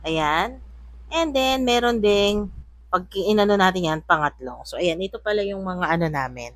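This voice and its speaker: A woman's voice: medium pitch, normal speed, no echo. A woman with a Filipino accent giving a casual, chatty gadget demonstration as a product reviewer. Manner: chatty, mixing two languages. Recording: noisy room with steady background hum. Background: air conditioner or fan hum.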